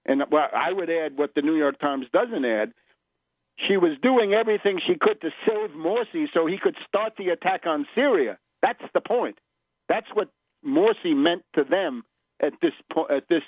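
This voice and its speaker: Male political radio host, somewhat high voice, emphatic and opinionated delivery, dry, slightly lo-fi broadcast sound. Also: no echo. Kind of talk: unscripted political radio commentary.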